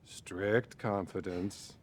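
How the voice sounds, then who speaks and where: An actor playing a calm, clinical psychiatrist who speaks in a measured, unflappable way, in a video game cutscene. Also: male voice, low-pitched, full character voice.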